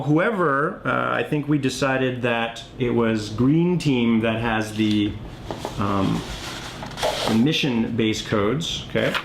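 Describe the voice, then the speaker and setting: A man with a mid-range voice walks through a board game in a casual, unscripted way. He thinks aloud as he demonstrates each move, like a designer teaching players. The recording has noticeable noise and a low rumble. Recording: clearly noisy, low rumble.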